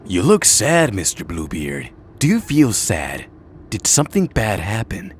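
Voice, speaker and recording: A man's voice: fairly low pitch, wildly swooping pitch, unhurried, slight background noise. A man playing a simple, childlike, meek fellow in a comic dub. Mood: innocent, concerned.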